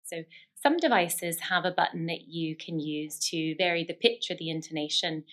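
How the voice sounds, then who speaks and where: A woman with a low voice explains a technique step by step in the manner of a speech therapist. She is encouraging and affirming as she instructs. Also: fairly bright tone.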